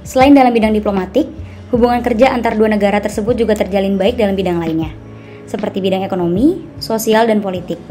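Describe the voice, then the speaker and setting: Fast-reading, mellow young woman. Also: mid-range voice. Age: young adult. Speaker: student presenter. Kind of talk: scripted explainer narration.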